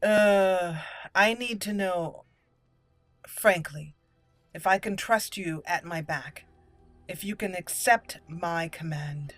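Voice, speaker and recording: A woman hosting a roleplay video game playthrough, moving between deliberate in-character lines and casual commentary. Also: fairly low pitch, no room sound, soft background music. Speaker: gaming video host.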